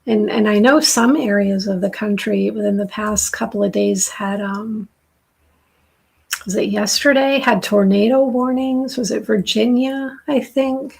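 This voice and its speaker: Middle-aged New woman, raspy and gravelly, dry throat, slow soothing tarot reader. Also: medium pitch, rough recording. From southern New Hampshire. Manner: slow, soft, soothing intuitive reading. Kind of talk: live-stream tarot reading.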